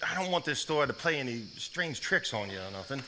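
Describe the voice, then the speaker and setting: Actor as folksy, forceful patriarch. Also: male voice, medium pitch, animated, fast talker, a little hiss or hum. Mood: gently doubtful. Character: protective, stern but tender head of household. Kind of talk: live stage play acting.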